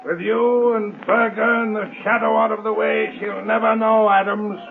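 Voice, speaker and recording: A man's voice: high voice, level tone, moderate pace, creaky, a crowd in the background. An actor playing a treacherous male murderer, taunting and melodramatic, in old-time radio drama style. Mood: gloating. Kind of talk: 1940s radio drama acting. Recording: very noisy tinny old radio recording.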